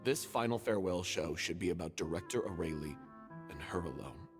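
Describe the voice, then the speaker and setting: A grave, remorseful performer. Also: male voice, deep voice, animated, brisk. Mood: resolute. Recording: game audio under loud music.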